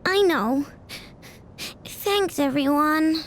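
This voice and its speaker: A woman's voice: high-pitched, drawn-out words, slight background noise, exaggerated voice. A woman playing a young girl robot inventor in a video game, girlish, earnest, stubborn and protective. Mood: grateful. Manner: earnest, determined. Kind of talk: English video game cutscene voice acting.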